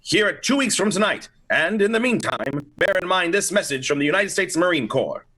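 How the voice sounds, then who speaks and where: A man with a slightly high voice reads as narrator and announcer of an old radio drama. His delivery is informal and playful, with stumbles over the script. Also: animated, brisk, sharp tone.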